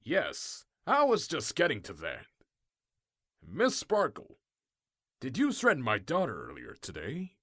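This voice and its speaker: A man voicing a firm, courteous older businessman stallion, a formal and measured father in a cartoon-style audio drama. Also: mid-range voice, wildly swooping pitch, fairly bright tone, no echo, clean studio sound, heavily acted. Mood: firm politeness.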